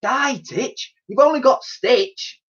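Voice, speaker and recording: A man's voice: extremely high pitch, slow pace, fairly bright tone, breathy edge, voice pushed up, exaggerated voice. A man voicing a brave, bossy, sensible young girl in a storybook, urgent and commanding. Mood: exasperated, sensible. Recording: dull, lo-fi sound.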